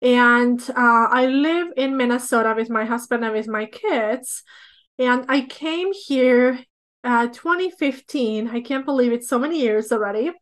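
A woman in her thirties from Estonia with an Estonian accent, a business coach telling her story as a podcast guest in relaxed, chatty interview talk. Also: on the high side, a little slow. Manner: storytelling, relaxed with light laughs.

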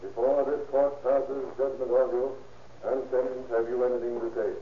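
Man acting grave judge, very even tone. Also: medium pitch, normal speed, lightly acted. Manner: grave, formal. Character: grave, formal male judge passing sentence. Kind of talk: 1930s radio acting. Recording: dull, noisy old radio recording.

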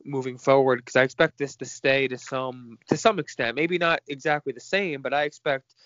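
A man's voice: medium pitch, steady tone, normal speed, a bit bright, dry recording, lo-fi sound. A man with a stuffy nose, co-hosting a casual chat about movie news in a frank, chatty way. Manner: chatty, frank, laughs between points. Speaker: podcast co-host.

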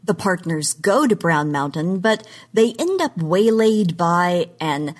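A woman presenting a scripted podcast segment on genre history in an articulate, emphatic, lecture-like manner. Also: fairly low pitch.

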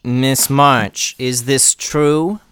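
A man playing a stern, formal schoolmaster in an audio play, giving measured commands. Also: mid-range voice, steady tone, a bit bright, smooth, no room sound, clean studio sound.

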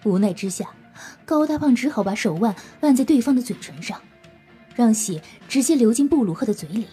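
A woman's voice: on the high side, lively intonation, fairly quick, dark tone, breathy edge, faint music behind. A woman narrating a scripted audio drama as a storyteller, in a dramatic style.

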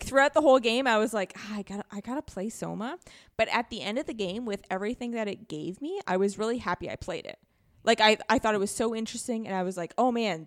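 A woman in a casual podcast discussion about video games, speaking candidly and emphatically in a conversational way. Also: mid-range voice. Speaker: podcast guest co-host.

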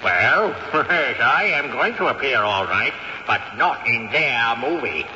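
A man's voice: medium pitch, big pitch swings, slow pace, bright, forceful tone, in a large hall, exaggerated voice. An actor playing a cantankerous, haughty old male ghost who drives a hard bargain, in a radio drama. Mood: indignant. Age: older. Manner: haughty, indignant, grandly formal. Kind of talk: 1970s radio drama acting. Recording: dull old radio recording with faint hiss.

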